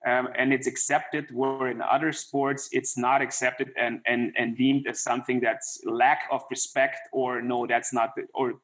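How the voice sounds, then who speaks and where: A man's voice: medium pitch, little pitch movement, a little slow, hardly any pauses, fairly bright tone, rough recording. A middle-aged man, a former hockey player, talking in a casual interview with many restarts and a lot of "you know.". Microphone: remote call.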